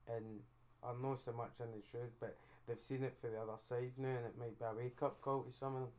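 A man's voice: mid-range voice, level tone, a little fast, darkish tone. A man with a Scottish accent co-hosting a podcast, giving matter-of-fact opinions in casual chat. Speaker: podcast co-host.

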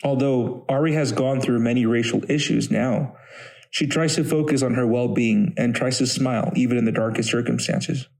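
A man's voice: medium pitch, steady tone, moderate pace, vocal fry, a little mellow, rough recording. A man hosting a podcast, reading scripted narration in a formal storytelling manner.

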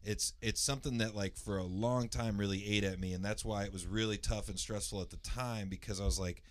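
A man from the Indianapolis area with a naturally loud voice, casually telling a long personal story, rambling with lots of fillers and restarts and clearing his throat. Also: fairly low pitch, steady tone, a little fast. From Indianapolis area, USA.

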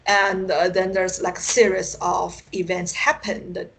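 A woman from China with a Chinese accent, a native Chinese speaker, answering interview questions haltingly as she searches for words. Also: fairly low pitch, flat delivery, vocal fry, a bit bright, husky. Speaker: guest author. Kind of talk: interview conversation. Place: remote internet call.

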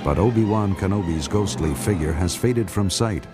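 A man narrating a radio drama from a script in a solemn, deliberate, announcer-like manner. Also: low-pitched, loud music behind the voice, lightly acted.

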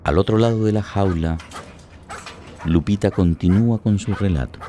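A man's voice: sits low, moderate pace, mellow, a little hiss or hum. A man delivering dramatic scripted narration for a radio play.

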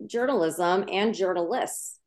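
A woman's voice: lowish voice, unhurried. Woman, journalism professor as interview guest; earnest, explanatory, emphatic. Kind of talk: interview answers.

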